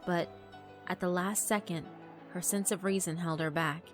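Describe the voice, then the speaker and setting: A woman narrating a horror visual novel in a measured, dramatic storytelling manner. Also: fairly low pitch, fairly even intonation, soft background music.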